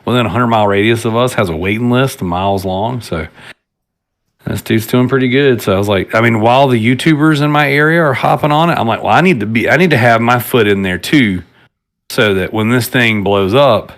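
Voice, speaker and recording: A man's voice: mid-range voice, fairly quick. A man in his late thirties, a podcast guest, telling rambling stories in casual chat. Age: 30s. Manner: relaxed, rambling storyteller.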